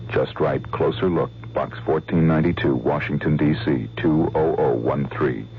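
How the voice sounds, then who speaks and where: A man reading a public service announcement in a slow, earnest announcer read. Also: very deep voice, fairly even intonation. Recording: dull old radio with hum.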